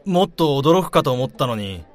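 A man playing an excitable, easily embarrassed young drinking buddy in a radio drama, with a whiny, exclamatory manner. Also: mid-range voice, normal speed, a faint crowd, natural voice. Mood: hesitant confession.

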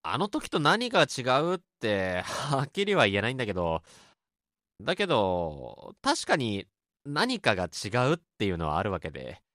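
A man playing a gentle, encouraging junior friend in a radio drama, speaking casually and politely with thoughtful hesitation. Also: on the low side, wildly swooping pitch, moderate pace, no room sound, studio quality. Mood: earnest, reassuring. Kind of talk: amateur radio drama dialogue.

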